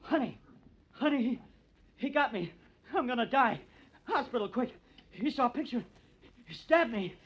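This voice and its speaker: A man's voice: extremely high pitch, expressive, normal speed, long pauses, slight background noise. An actor playing a cunning, bitter artist who frames his rival, with theatrical, taunting intensity, in old-time radio drama style. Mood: feigned agony. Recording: dull, lo-fi old radio recording.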